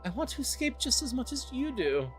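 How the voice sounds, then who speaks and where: A streamer voicing a playful, teasing star-like creature from a video game, theatrical and cheery, with sing-song, drawn-out words. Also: high-pitched, big pitch swings, soft background music, pitched above the performer's own voice. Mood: reassuring, theatrical.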